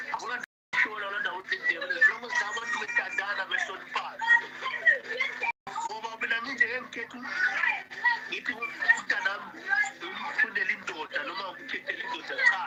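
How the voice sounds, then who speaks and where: Older male caller, very lively pitch, loud music behind, advice-giving. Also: vocal fry, rough recording. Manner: animated, preachy advice on love and respect. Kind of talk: call-in over phone.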